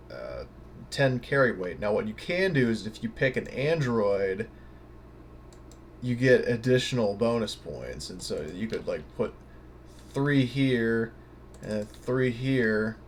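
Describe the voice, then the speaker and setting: A man giving a casual, unscripted tutorial in a hesitant, halting way, thinking aloud as he goes. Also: on the low side, slight background noise. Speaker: tutorial presenter.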